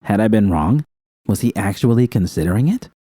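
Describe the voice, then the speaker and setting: A man reading first-person fiction as an audiobook, with tense, expressive dramatic storytelling. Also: lowish voice, a little fast, dry recording, clean studio sound.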